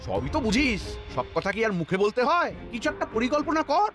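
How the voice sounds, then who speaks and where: A man's voice: very high voice, very animated, brisk, music in the background. A man voicing a vain, scheming, whiny royal minister in a cartoon, protesting and wheedling. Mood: sulky, plotting. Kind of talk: Bengali cartoon dubbing.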